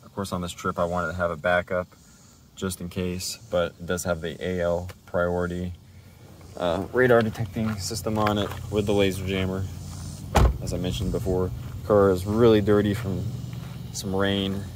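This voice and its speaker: Male DIY presenter, low pitch, informal explanatory delivery, lightly noisy recording around a car. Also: flat delivery, normal speed. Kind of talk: casual hands-on walkthrough.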